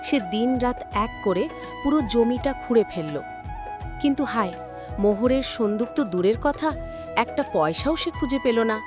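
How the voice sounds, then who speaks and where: A woman's voice: fairly high pitch, animated, moderate pace, close to an everyday voice. A woman reading scripted narration for a cartoon folk tale. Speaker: storyteller. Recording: loud music under the voice. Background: loud music.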